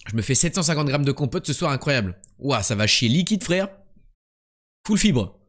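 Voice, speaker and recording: Joking young French streamer, boastful banter. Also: male voice, mid-range voice, big pitch swings, moderate pace, a bit bright. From France. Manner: joking, boastful, chatty with the chat. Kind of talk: casual live-stream commentary.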